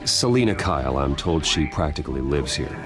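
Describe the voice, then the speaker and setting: An actor playing a grave, determined billionaire vigilante in a video game, earnest, clipped and controlled. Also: male voice, rumbling low, big pitch swings, a little fast, over music, full character voice. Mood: guarded, polite.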